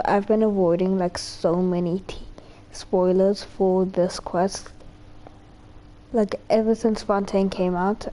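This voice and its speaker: A woman's voice: mid-range voice, dark tone, poor audio. A woman game streamer giving casual commentary as she plays.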